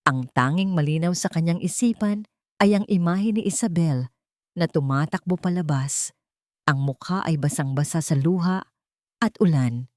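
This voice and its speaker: A woman with a fairly low voice tells a scripted romantic drama. She speaks in measured, solemn phrases, and the recording is dry and close. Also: no echo. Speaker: story narrator.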